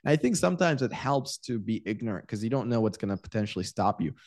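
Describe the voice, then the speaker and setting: A man's voice: fairly low pitch, animated, quick pace, a little mellow, dry recording, studio quality. A man in his twenties, raised in Iraq, telling his life story candidly as a podcast guest and often repeating words as he thinks. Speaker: podcast guest, real estate investor. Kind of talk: casual interview storytelling.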